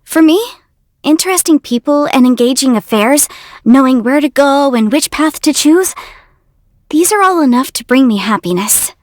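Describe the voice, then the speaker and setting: A woman voicing a young, quick-witted half-immortal legal adviser in a video game, articulate, self-assured and playful. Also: high-pitched, lively intonation, no room sound, studio quality. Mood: contented. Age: young adult. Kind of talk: video game character voice lines.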